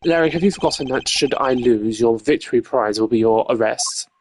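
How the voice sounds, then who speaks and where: A man's voice: mid-range voice, a little fast, background noise, close to an everyday voice. A man voicing a haughty, precise prosecutor in a video game, formal, pompous and dryly sardonic. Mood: measured, smug.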